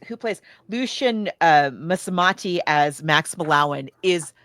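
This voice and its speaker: A woman's voice: on the low side, expressive, measured pace, fairly bright tone, no room sound, clean studio sound. A woman co-hosting a podcast, chatting casually and with gushing enthusiasm about films and books. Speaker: podcast co-host. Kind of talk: casual film and book discussion.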